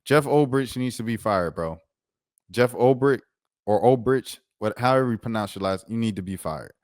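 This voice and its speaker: A man's voice: medium pitch, expressive, moderate pace, dry recording. An American man giving a casual, opinionated sports rant in a slangy, incredulous, emphatic way, repeating himself for effect. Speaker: sports commentator, show host.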